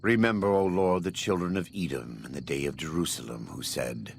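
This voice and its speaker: Grave scripture-quoting wastelander, bottomless bass, gravelly, slow solemn recitation, game cutscene. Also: male voice, slight background noise. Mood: solemn, brooding.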